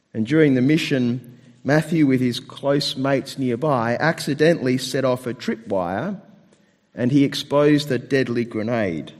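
Male pastor, mid-pitched and a touch croaky, telling stories in a sermon, long hall reverb. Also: darkish tone. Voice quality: slightly croaky. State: slightly hoarse. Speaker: pastor preaching. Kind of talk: sermon with storytelling. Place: church hall, speaking to a congregation. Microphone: microphone with amplification.

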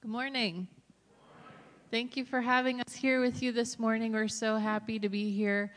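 A woman's voice: a little high, flat delivery, normal speed. A woman in her thirties giving a personal testimony as a missionary guest speaker to a church congregation. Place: large church hall, over a PA. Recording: echoey hall, long reverb.

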